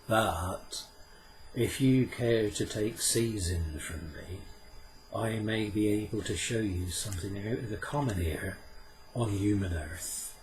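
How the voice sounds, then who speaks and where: A man voicing a sly, ancient male sprite who talks in a measured way and sometimes chants in a slow sing-song. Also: lowish voice, slight background noise, low-quality recording. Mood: knowing.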